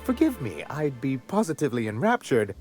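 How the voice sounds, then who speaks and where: An actor playing a pompous, melodramatic corporate executive with florid, grandiose speech in a video game. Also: male voice, mid-range voice, huge pitch swings, fairly quick, darkish tone, faint music behind. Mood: grand, courteous. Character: pompous, theatrical corporate executive man, easily wounded and melodramatic. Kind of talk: video game character acting.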